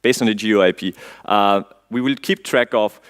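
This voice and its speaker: A man's voice: lowish voice, moderate pace, smooth. Austrian man giving a tech talk. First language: German. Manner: quizzes the audience, frequent "um" fillers, relaxed dry humor. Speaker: conference speaker. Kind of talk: technical conference talk. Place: conference stage before an audience.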